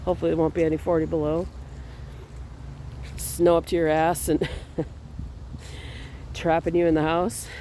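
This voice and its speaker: A man from Minnesota, stuffy from a cold, chatting in a relaxed, rambling way on a walking vlog outdoors. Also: fairly high pitch, normal speed, pauses often, slight background noise. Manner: relaxed, rambling, with small laughs and restarts. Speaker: artist vlogger. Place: outdoors in a cemetery on a fall day.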